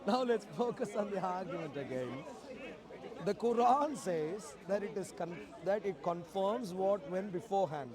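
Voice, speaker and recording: A man's voice: high voice, animated, moderate pace. A man in his forties debating religion off the cuff in the street, insistent, repeating his questions and talking over his opponent. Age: 40s. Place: outdoors at a public park speakers' corner. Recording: outdoor street recording with crowd noise.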